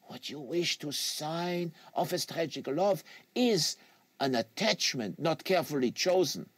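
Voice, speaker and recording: Novel reading: man plays solemn Quebecois fanatic, lecturing, earnest, highish and very lively in pitch. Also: measured pace, husky, dry recording. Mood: fervent, preaching. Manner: solemn, rhetorical, foreign phrasing. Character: earnest, lecturing French-Canadian male assassin in a wheelchair.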